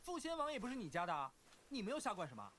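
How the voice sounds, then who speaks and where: A voice actor playing bickering teenage boy cultivators in an animated series, taunting and childish, from a played clip. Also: medium pitch, very fast. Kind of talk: Mandarin animated series dub. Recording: played show clip under loud orchestral score.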